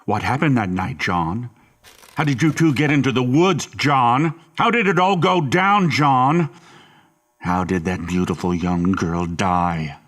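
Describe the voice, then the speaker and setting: A man's voice: mid-range voice, fairly bright tone. A man playing a stern, condescending interrogator in an audio drama, needling and pressing insistently with menace. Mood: angry, insistent. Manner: deliberate, needling, repeatedly presses.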